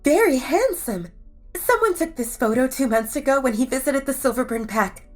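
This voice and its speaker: A woman voicing an excitable, gossipy young woman in a romance audio drama. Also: sits high, moderate pace, faint music behind, pitched above the performer's own voice. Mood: gushing, excited. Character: excitable, gushing young woman, gossipy about handsome men.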